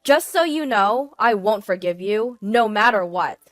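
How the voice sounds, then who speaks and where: A woman's voice: medium pitch, lively intonation, measured pace, dry recording, close to an everyday voice. Woman acting a cold, scornful betrayed wife, dry sound. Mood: furious. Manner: cutting, unyielding. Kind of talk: dramatized storybook dialogue.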